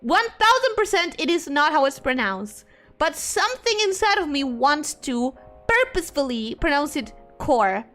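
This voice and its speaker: A young woman streamer talking from a home setup. Her voice is bright and crisp, and it swings all over the range, from squeaky highs down to grumbling lows. Soft music plays quietly under her talk. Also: normal speed. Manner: expressive, swings between high squeals and low mutters. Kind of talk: casual chat reading viewer messages.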